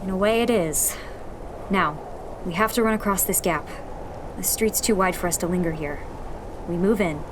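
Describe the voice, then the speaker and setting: A woman's voice: lowish voice, moderate pace, pauses often, noisy background, natural voice. A woman playing a determined rebel leader. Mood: steady. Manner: steady, directing, urgent in action.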